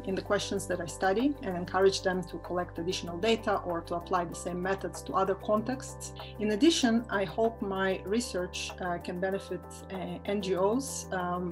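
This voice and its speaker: A woman from Israel, an assistant professor, talking about her research in a measured way with frequent filler pauses. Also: lowish voice. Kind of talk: interview-style talk about research.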